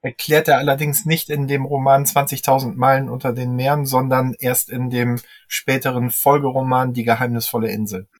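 A man with a medium-pitched voice speaks as a podcast panellist. He explains background details in discussion, in a precise and factual manner. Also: little pitch movement, brisk, few pauses.

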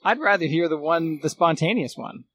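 A man's voice: fairly high pitch, expressive, moderate pace, a bit bright, husky. A man chatting casually as a guest, reminiscing earnestly and often rephrasing as he goes. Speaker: guest, former radio producer.

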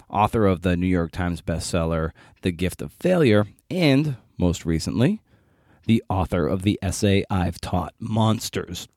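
A man's voice: lowish voice, darkish tone, dry recording, studio quality. A man hosting a podcast, giving an informal introduction and speaking directly to listeners. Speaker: podcast host.

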